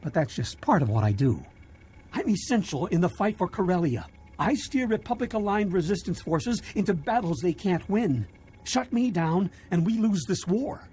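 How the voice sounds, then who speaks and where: Cornered Imperial double agent, high voice, lively pitch, urgent, defensive, self-important, video game acting. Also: male voice, moderate pace, husky. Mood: defensive, insistent.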